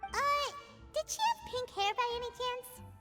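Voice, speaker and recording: A woman voicing a tiny, excitable, cheeky childlike fairy companion in an anime game, with a squeaky voice. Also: a little slow, exaggerated voice. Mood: curious, cheeky. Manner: refers to herself in third person. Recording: music behind the voice.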